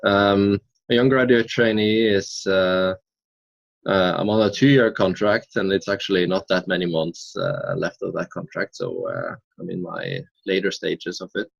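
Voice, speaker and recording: A man's voice: deep voice, a little slow, creaky, no echo, highs cut off. A young man in his twenties from Norway, answering interview questions in an earnest, thoughtful way with frequent hesitations. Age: 20s. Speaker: interview guest.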